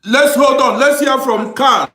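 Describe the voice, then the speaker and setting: A man's voice: very high voice, a little slow, bright, forceful tone. A man hosting a live panel debate, firmly cutting in to keep order. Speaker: host of a panel discussion. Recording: echoey room sound.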